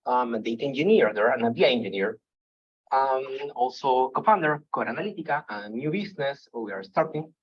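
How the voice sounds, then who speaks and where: Man, Peruvian Spanish accent, husky, halting with fillers, dull lo-fi recording. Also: medium pitch, expressive. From Peru. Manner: halting, with many fillers and restarts. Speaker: guest speaker. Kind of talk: presentation talk. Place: meeting room. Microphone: hall microphone.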